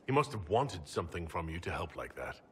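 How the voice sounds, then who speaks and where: An actor playing a calm, diplomatic leader with a husky voice and an even temper in a fantasy video game. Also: male voice, low-pitched, fairly quick, slight background noise. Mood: calm, probing. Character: composed, diplomatic male Inquisition leader. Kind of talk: video game dramatic acting.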